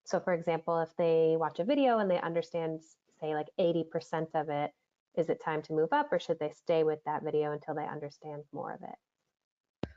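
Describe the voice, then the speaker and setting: A woman's voice: low-pitched, steady tone, a little fast. Woman moderator relaying questions, dull audio. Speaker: webinar moderator.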